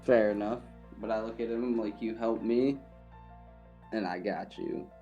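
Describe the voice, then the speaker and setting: Lower-voiced man role-playing casually. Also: fairly even intonation, unhurried, mellow, muffled recording, faint music behind. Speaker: tabletop game player.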